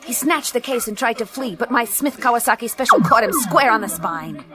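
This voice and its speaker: A woman's voice: fairly high pitch, animated, a little fast, a crowd in the background, natural voice. An actress playing a tough, wisecracking female private eye in a noir science-fiction radio play, with a streetwise, sardonic delivery. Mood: wry narrating. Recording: music under the voice.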